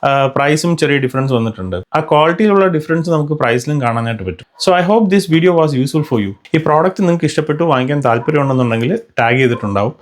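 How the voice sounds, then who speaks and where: A man's voice: fairly high pitch, expressive, fast, few pauses. A man with a Malayali accent narrates a product review as a vlogger, often mixing in English phrases. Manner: mixes English phrases into speech. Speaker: vlogger reviewing motorcycle gear.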